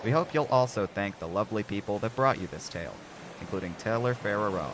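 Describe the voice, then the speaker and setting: Low-voiced male narrator, noisy backdrop. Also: lively intonation, quick pace. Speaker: narrator, host. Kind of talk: scripted dramatic narration.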